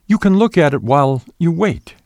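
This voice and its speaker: A stern, wary man from a storybook, played by a male reader. He instructs firmly and suspiciously, with a lively rise and fall in a dry, close sound. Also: on the high side, moderate pace, creaky, no echo, voice pushed up. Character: stern, wary man giving orders to his demon.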